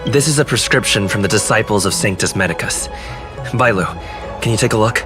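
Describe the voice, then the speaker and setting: Low-voiced, shrewd game investigator played by a man. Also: music in the background, close to an everyday voice. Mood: suspicious. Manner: measured, musing to himself. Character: shrewd, composed male investigator piecing clues together. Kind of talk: video game voice acting.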